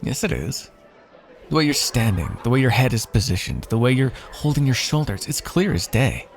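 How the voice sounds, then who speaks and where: A man's voice: mid-range voice, very animated, normal speed. A man playing a wry, teasing royal heir and former mercenary in an ASMR fantasy roleplay, with formal, theatrical phrasing.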